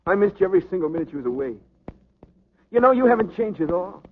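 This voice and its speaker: An actor playing an earnest young male boxer who speaks plain street slang in a hushed, sincere way, in 1940s film style. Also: high voice, sing-song, fast, a little hiss or hum. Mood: tender. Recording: dull old film soundtrack with music.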